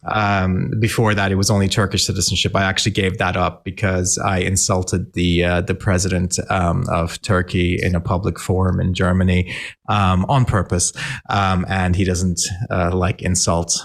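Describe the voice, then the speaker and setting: A man with an American accent talks as a guest over a video call. His voice sits low and has a gravelly, fry-laden edge. He is chatty and relaxed, filling pauses with ums and laughing easily. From Malaysia. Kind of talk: casual video-call interview.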